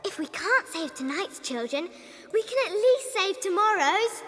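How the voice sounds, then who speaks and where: A woman's voice: very high-pitched, big pitch swings, a little slow, a bit bright, exaggerated voice. An English actress playing a brave, polite, bossy young girl, eager and well-spoken, in a dramatized audiobook. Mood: urgent, eager.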